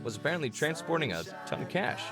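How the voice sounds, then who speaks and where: A man's voice: on the high side, sing-song, fast talker, music in the background. A man playing a chatty character sharing rumours in a visual novel. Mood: musing.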